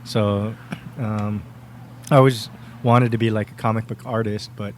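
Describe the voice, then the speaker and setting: A man's voice: fairly low pitch, fairly even intonation, unhurried, slight background noise. Laid-back man with lots of fillers. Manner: laid-back, rambling with many fillers and laughs. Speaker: podcast guest, cosplayer. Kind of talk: casual interview chat.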